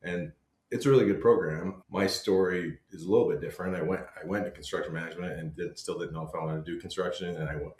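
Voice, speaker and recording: A man from Utah, a construction company owner, chatting in an easygoing, halting way as a podcast guest. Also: sits low, reverberant. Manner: easygoing, halting, with fillers and laughs. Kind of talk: casual interview chat.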